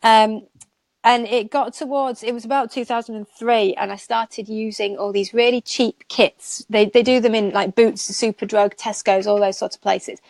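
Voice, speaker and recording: A woman's voice: fairly high pitch, fairly even intonation, moderate pace, a little mellow, no echo. A chatty woman, a nail technician, tells her story in a loose, rambling way with frequent laughs. The sound is dry and close, with almost no room. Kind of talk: casual live chat.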